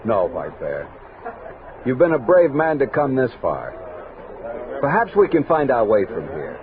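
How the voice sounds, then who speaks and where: Courteous army explorer, formal, steady temper, lively intonation, vintage western radio sound, narrow muffled band, background noise. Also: male voice, medium pitch. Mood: resolute. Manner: earnest, measured, formal. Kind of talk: 1960s radio western acting.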